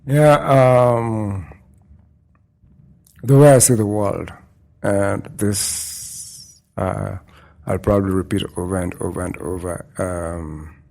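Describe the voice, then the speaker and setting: A man's voice: low-pitched, vocal fry, darkish tone. A man from Kenya, a guest photographer talking thoughtfully and unhurriedly in an interview. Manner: thoughtful, unhurried, repeats phrases. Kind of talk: casual interview talk. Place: indoors at a culture centre.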